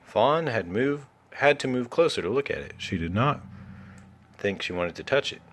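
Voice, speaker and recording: A man reading a children's story aloud in an animated storytelling style. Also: medium pitch, moderate pace. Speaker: children's book reader.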